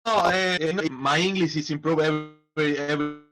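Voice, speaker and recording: A man, a football manager and former player, chatting as a podcast guest in halting non-native English with frequent restarts. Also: fairly high pitch, fairly even intonation, a bit bright, faint music behind. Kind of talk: casual interview chat.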